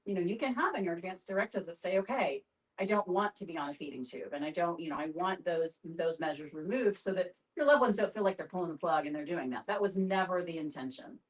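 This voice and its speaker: Woman, slightly low pitch, explanatory, halting phrasing, group discussion, a bit off-mic. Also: expressive. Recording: voice picked up from a distance.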